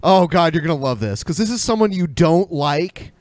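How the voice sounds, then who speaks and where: A man's voice: fairly high pitch, expressive, normal speed, no echo, clean studio sound. A man co-hosting a podcast, chatting casually in an informal, rambling way. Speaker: podcast co-host.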